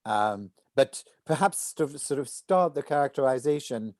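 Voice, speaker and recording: An older man talking casually as an actor in a rehearsal discussion, halting as he thinks out loud on a video call. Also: medium pitch, lively intonation, no echo, clean studio sound. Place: indoor room at home, video call.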